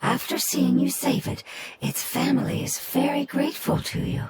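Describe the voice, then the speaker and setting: A woman voicing a kind interpreter who speaks softly and patiently, explaining things. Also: high voice, flat delivery, normal speed, heavy background noise. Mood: gentle. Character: kind gentle female interpreter for creatures. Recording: game audio over loud music.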